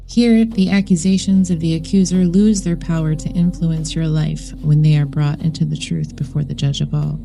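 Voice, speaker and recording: A woman with a low, soft-edged mellow voice narrates a guided meditation. Her delivery is solemn and measured, with deliberate phrasing and a creaky grain. Music plays behind her. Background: background music.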